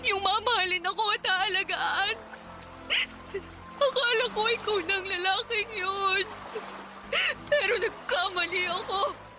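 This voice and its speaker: A worn-out Filipina mother and caregiver who has had enough. Her voice is extremely high and full of bitter, emotional reproach and sarcasm. It is radio drama, with music clearly playing behind her. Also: a little slow. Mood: sarcastic, tearful. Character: exhausted, bitter common-law wife and mother, fed up caregiver. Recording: music bed under the dialogue.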